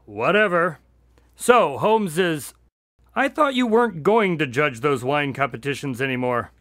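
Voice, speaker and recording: Exasperated male sidekick, radio drama storyteller, lightly high pitch, very animated, dry delivery. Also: a little slow, dry recording.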